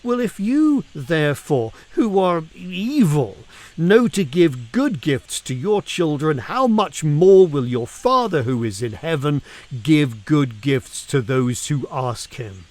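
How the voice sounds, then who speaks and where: A man reads scripture aloud in a measured, deliberate way, using pauses and emphasis for dramatic effect. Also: fairly high pitch, sing-song, darkish tone, dry recording. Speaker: reader of scripture.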